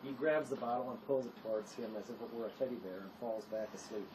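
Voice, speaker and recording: A man's voice: medium pitch, fast. A man narrating a play, reading its stage directions as scripted narration. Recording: noisy amateur recording.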